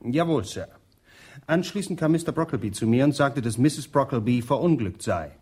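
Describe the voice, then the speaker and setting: An actor playing a stiff, ultra-polite butler in a radio play, with a breathy voice and precise wording. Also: male voice, medium pitch, expressive, normal speed, darkish tone. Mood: formal. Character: stiff, formal male butler.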